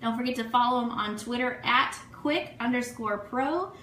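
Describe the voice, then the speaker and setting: A woman with a bright, fairly high voice hosts a casual livestream show. She chats in an effusive, excitable way, gushing through shout-outs to sponsors and listeners. The sound has the echo of a live room. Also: moderate pace. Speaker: online radio show host. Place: room, live social media stream. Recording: echoey room, faint noise.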